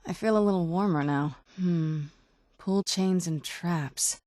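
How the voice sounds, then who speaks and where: A woman's voice: on the low side, slow, mellow, no echo. A woman voicing a young-looking vampire noblewoman companion in a video game, wry and guarded, with a dry conversational delivery. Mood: cautious, curious. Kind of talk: video game character dialogue.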